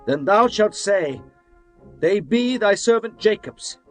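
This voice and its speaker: A man voicing Jacob, an anxious older patriarch who pleads humbly, over soft music. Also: high-pitched, expressive, slow, voice pushed up. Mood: urgent.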